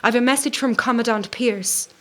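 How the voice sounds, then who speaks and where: A woman's voice: fairly high pitch, moderate pace, natural voice. A woman playing a bold, impatient rebel courier in an audio play. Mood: urgent.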